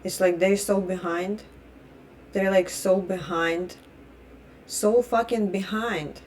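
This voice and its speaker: A woman's voice: medium pitch, steady tone, slow pace, darkish tone, slight background noise. A young adult woman from Russia, a native Russian speaker, ranting bluntly with heavy swearing and repeated restarts in her phrasing. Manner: blunt, heated and profane. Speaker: vlogger. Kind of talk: opinion rant.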